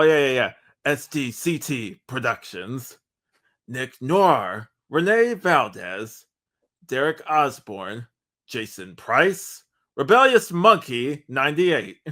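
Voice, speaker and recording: A man's voice: medium pitch, big pitch swings, takes it slowly, sharp tone. Casual, rambling male podcast co-host. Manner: rambling, conversational, peppered with "like".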